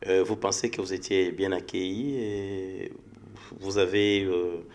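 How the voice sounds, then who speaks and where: A man's voice: low-pitched, fairly even intonation, a little slow, a little mellow. A man conducting an interview in a conversational style.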